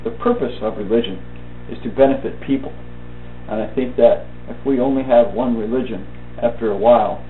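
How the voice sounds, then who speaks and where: A man reading a personal essay aloud as a thought for the day, in a measured, halting way, phrase by phrase. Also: mid-range voice, animated. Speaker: reader of a thought-for-the-day video. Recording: tinny band-limited audio, roomy echo, faint hum.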